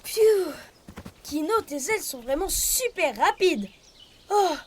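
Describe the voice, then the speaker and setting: A woman's voice: very high voice, very animated, takes it slowly, heavily acted. A woman voicing a cheerful, friendly young boy leopard cub in a cartoon, eager and playful. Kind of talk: animated series dub. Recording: music behind the voice.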